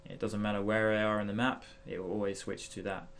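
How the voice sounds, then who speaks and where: A man with a fairly low, creaky voice hosts a software tutorial in a casual style. He thinks aloud as he demonstrates, feeling his way through each step with some hesitation. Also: little pitch movement, quick pace, slight background noise. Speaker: tutorial host.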